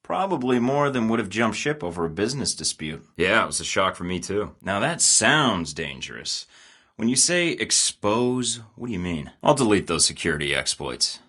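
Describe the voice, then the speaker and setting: Cocky game spy: deep, bright-edged voice, dry sarcasm. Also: male voice, sing-song, normal speed, breathy edge, exaggerated voice. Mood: wry. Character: wisecracking young male secret agent, cocky. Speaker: video game protagonist. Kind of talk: game voice lines.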